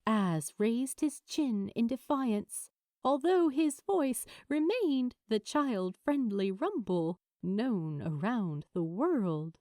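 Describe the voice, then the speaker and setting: A woman reading fiction as an audiobook narrator, with expressive, deliberate, dramatic phrasing. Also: on the high side, no room sound, studio quality.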